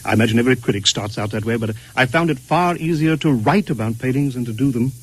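A man's voice: medium pitch, fast, slightly rough, dry recording, lightly acted, rough recording. An actor playing a pompous, flustered art critic, prissy and stammering, repeating his words in old-time radio comedy style. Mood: earnest, anxious. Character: fussy, flustered, pompous art critic, polite but appalled.

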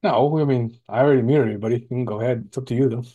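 A man co-hosting an informal show, chatting casually in a halting way and often repeating his words. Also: mid-range voice, dark tone, highs cut off. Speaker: co-host running the muting. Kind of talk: casual chat.

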